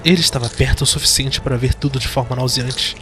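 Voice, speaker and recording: Male narrator, Brazilian accent, mid-pitched. Dramatic scripted horror-fantasy storytelling over a music bed. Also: steady tone, quick pace, a bit bright.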